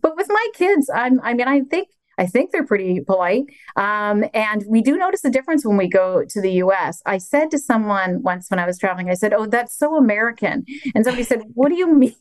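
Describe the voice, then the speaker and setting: A woman's voice: on the high side, lively intonation, a little fast, dry recording. An older woman from Canada, a radio host and grandmother, chatting casually and self-deprecatingly. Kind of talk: casual chat.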